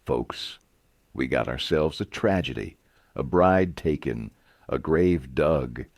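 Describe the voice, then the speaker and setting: A man's voice: extremely low pitch, takes it slowly, dry recording, clean studio sound, exaggerated voice. A man voicing a smug, corrupt sheriff in a Western story, with a folksy and menacing manner. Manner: folksy, taunting, turning to spitting commands.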